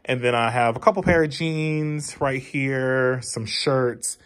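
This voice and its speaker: A man's voice: mid-range voice, slow, a bit bright. A man in his thirties talks with a stuffed-up, nasal voice as he walks through his home. He speaks casually and with feeling, calling his viewers y'all. He is filmed on a handheld phone indoors. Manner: chatty, emotional, addresses viewers as y'all. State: nasal congestion. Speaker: vlogger. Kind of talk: casual home-tour vlog. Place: house being packed up, indoors. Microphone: handheld phone camera.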